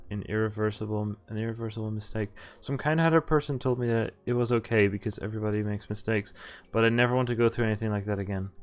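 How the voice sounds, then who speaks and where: A man's voice: lowish voice, smooth. A man streaming a visual novel, reading its text aloud and sometimes stumbling over words and rereading them. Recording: music behind the voice. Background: game music.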